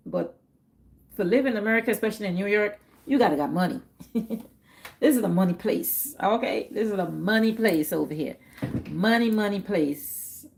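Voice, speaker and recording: Gravelly Guyanese woman chatting casually. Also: mid-range voice, lively intonation, moderate pace. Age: middle-aged. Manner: chatty, repeats herself, laughs often. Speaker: livestream host. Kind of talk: casual livestream chat.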